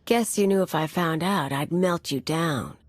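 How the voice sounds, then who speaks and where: A brazen female anarchist in a video game. Her voice sits fairly low, and she speaks with sardonic, taunting bite and a vengeful streak. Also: unhurried, no room sound. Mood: dry, irritated. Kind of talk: video game cutscene acting.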